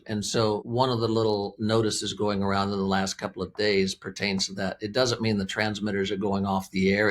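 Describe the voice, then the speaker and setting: An American man with a fairly low voice speaks as an interview guest, explaining in plain, folksy terms. He is emphatic and likes to repeat key phrases. There is some noise behind him on the recording. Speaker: interview guest, weather radio company representative.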